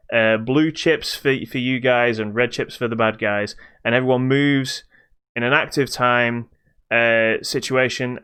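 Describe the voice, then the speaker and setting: A man co-hosting a podcast, reviewing games in casual chat with halting explanations that trail into dismissive asides. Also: mid-range voice, bright, forceful tone, smooth. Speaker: podcast co-host.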